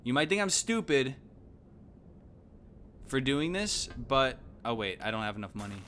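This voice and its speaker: A man, giving casual, slangy, animated live game commentary. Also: medium pitch, moderate pace, faint music behind. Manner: animated, exclaiming, thinking aloud. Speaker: game streamer. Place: indoor room at home.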